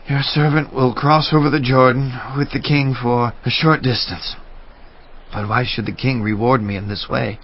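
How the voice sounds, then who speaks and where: A man's voice: mid-range voice, highs cut off, a little hiss or hum, lightly acted. A man playing a weary, humble eighty-year-old. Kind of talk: dramatized audio Bible acting.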